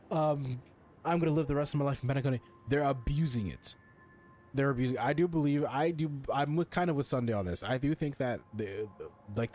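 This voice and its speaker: A man's voice: mid-range voice, darkish tone, a little hiss or hum. A man giving informal, opinionated off-the-cuff commentary as a gaming streamer, often repeating his phrases as he thinks aloud. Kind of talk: casual off-the-cuff game commentary.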